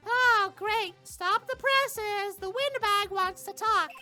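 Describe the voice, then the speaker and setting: A man's voice: extremely high pitch, takes it slowly, bright, forceful tone, soft background music, voice pushed up, heavily acted. A man voicing a nagging, gossipy, indignant old woman witness in a video game, huffy and rambling as they scold. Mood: indignant outburst.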